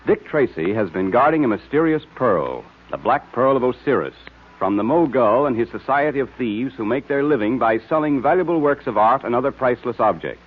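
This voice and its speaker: A thin, tinny vintage radio recording of a male announcer. His medium-pitched voice has a husky, unclear edge as he reads in the scripted style of old serials. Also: expressive, brisk. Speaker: announcer and narrator.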